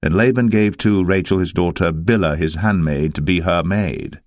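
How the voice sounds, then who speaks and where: A man reading scripture as a narrator, in a solemn, measured storytelling manner. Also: deep voice, darkish tone, no echo, clean studio sound.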